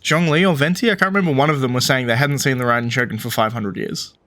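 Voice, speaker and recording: A male game streamer with a mid-pitched voice gives casual commentary. He muses and thinks aloud in an informal, conversational way. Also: fast, a bit bright. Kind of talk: casual let's play commentary.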